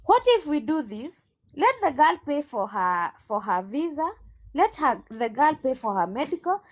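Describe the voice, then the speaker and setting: A woman's voice: high-pitched, very animated, moderate pace. A woman with a Kenyan accent answering as a recruitment agent in an interview, assertive and emphatic, often repeating herself.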